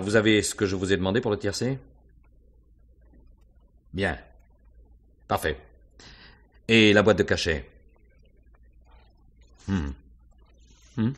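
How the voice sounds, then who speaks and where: Radio mystery inspector: courteous but probing, very deep, rough-edged voice, deliberate questioning. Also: male voice, fairly bright tone, exaggerated voice. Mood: brisk, satisfied. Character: shrewd, probing, courteous male police inspector. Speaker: actor in a radio mystery drama. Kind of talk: French radio mystery play acting, 1950s style.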